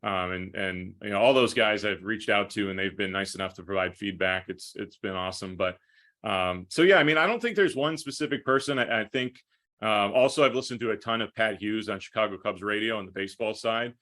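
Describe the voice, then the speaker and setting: Low, bright-voiced American man in interview. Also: brisk. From United States, upstate New York. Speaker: hockey play-by-play broadcaster. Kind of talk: conversational interview answers.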